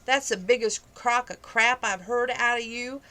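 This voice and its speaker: A woman's voice: fairly high pitch, steady tone, moderate pace, sharp tone. A woman reading aloud, voicing a blunt, skeptical young cowboy in a storybook. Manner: blunt, disbelieving.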